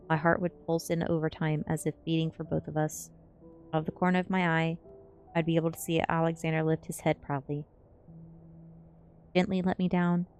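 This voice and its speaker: A woman with a low-pitched voice narrates a story in an ASMR style. She reads from a novel as a streamer would for listeners, with faint music playing behind her. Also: darkish tone. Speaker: streamer reading a novel aloud.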